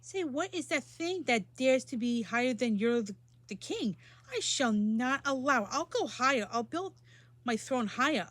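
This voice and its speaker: A woman's voice: sits high, expressive, normal speed, a bit bright, dry recording, voice pushed up. A woman voicing a boastful, bossy male turtle king from a storybook in a pompous, commanding bellow. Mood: indignant, defiant.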